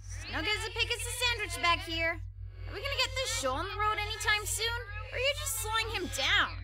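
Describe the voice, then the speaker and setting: Very high-pitched, brash pegasus pony. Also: female voice, lively intonation, a little fast. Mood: sarcastic, teasing. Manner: flippant, teasing, grumbling. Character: brash, sarcastic winged female pegasus pony. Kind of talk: audio drama acting.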